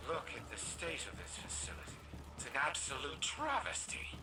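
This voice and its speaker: An actor playing a haughty, aggrieved scientist in a video game, pompous, peevish and precise. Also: male voice, on the high side, wildly swooping pitch, moderate pace. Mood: indignant. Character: haughty, aggrieved male scientist fussing over his facilities. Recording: noisy ambience behind the voice.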